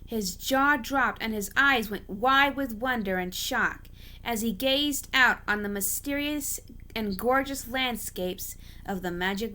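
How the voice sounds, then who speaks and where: A woman's voice: a little high, pushed and bright. A woman reading a story aloud as an amateur narrator, often stumbling and rereading phrases.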